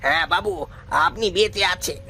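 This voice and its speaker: A man playing a gravelly night boatman. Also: high-pitched, normal speed, pushed and bright, slight background noise, full character voice. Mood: reassuring. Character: mysterious deferential boatman of the night river.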